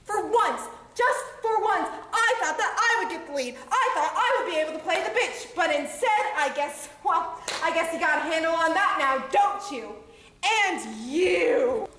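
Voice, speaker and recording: A jealous young actress in a comedy sketch, played by a woman, high-pitched, sarcastic and theatrical as she rants. Her voice echoes heavily through a big room, caught from a distant mic with a faint hiss. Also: big pitch swings, unhurried, a bit bright, exaggerated voice. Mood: ranting. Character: bitter jealous young actress who lost the lead. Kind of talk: amateur comedy sketch acting.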